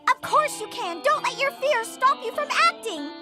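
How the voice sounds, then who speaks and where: A woman's voice: very high-pitched, lively intonation, moderate pace. A woman playing an earnest, encouraging young schoolgirl team leader in an English anime dub. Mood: urging. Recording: clear music behind the voice.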